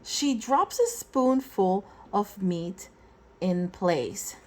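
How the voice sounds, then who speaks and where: A woman reads a picture book aloud like a teacher to children. Her delivery is slow and deliberate, with a lively, swooping pitch and expressive phrasing that acts out the dialogue. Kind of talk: expressive storybook read-aloud.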